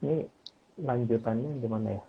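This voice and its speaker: A man's voice: medium pitch, brisk, slightly rough. A man hosting a casual interview, polite and respectful, with frequent fillers as he asks questions. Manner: polite, says "Pak", many fillers. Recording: tinny phone-like audio.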